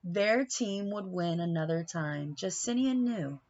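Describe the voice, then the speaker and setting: A woman reading a picture book aloud in an animated, dramatic manner, pausing for asides and questions to young listeners. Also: on the low side, muffled recording. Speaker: read-aloud storyteller.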